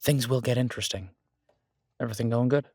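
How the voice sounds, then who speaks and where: A man playing a shy, devoted chef boyfriend in an intimate audio roleplay, speaking in a halting, self-deprecating, affectionate way. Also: medium pitch, darkish tone, breathy edge, no room sound, clean studio sound, lightly acted. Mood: tender. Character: Beau, warm, shy, flustered male chef and devoted boyfriend.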